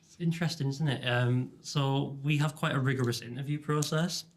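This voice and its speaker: A man, a teacher trainer on a podcast, speaking conversationally with quick asides. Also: medium pitch, fairly even intonation. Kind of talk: casual interview.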